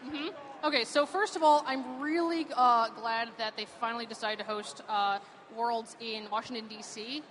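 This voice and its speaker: A woman's voice: a little high, steady tone, moderate pace, crowd noise. Chatty cosplayer woman from Pittsburgh. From Pittsburgh, USA. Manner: chatty, filler-heavy, enthusiastic. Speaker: cosplayer guest. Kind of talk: casual event interview.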